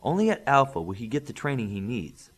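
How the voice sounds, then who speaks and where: A man reading the part of a polite, firm official woman in her thirties, formal and measured, in an audiobook. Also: medium pitch, very animated, slightly rough. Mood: serious, firm.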